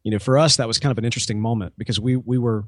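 A man in a casual interview, speaking at a medium pitch in a very dry recording. He is an informal guest who explains his business and slips into imagined dialogue as he goes. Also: steady tone, very fast, no room sound. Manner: informal, talks in hypothetical quoted dialogue. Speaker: podcast app co-founder, interview guest.

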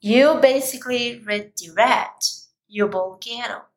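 A woman with a slightly raspy voice giving an emphatic, deliberate motivational talk, as a coach speaking directly to viewers. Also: a little high.

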